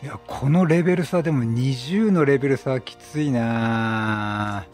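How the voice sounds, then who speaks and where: A middle-aged man giving chatty, excitable Let's Play commentary, reacting and repeating his phrases. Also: mid-range voice, unhurried. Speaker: game streamer. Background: game music.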